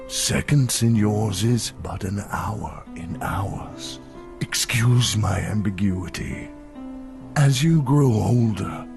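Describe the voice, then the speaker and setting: A man playing a kindly, sage snow creature in a fantasy audio story, with a measured, avuncular manner. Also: on the low side, vocal fry, full character voice. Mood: reassuring. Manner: measured, avuncular, old-fashioned wording.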